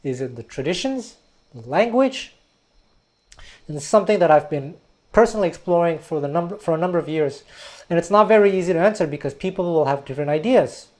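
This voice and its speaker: Mellow-voiced man explaining history. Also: on the high side, expressive, a little fast. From Quebec, Canada. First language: Taiwanese. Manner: thoughtful, digressive, often restarts words. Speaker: music teacher and video host. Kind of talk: educational history talk.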